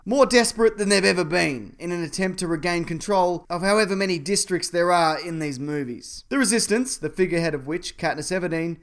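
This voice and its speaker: A male reviewer with a notably high-pitched voice narrates a scripted, comedic film review. His delivery is wry, dropping deadpan asides as he goes, like a podcast host who reviews movies.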